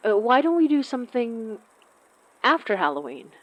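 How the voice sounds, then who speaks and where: An awkward young woman in an audio drama, apologetic and torn about a close friend. Her pitch moves around a lot, and she stammers and hesitates through her sentences in a natural, conversational way. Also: big pitch swings, normal speed, no echo. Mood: warm, reassuring.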